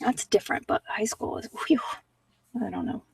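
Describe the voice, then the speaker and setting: A woman's voice: mid-range voice, lively intonation, moderate pace, gravelly, slightly rough, distant mic. A woman chatting casually and candidly with live viewers as a livestream host, in an informal, self-deprecating, rambling way. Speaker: livestream host giving card readings.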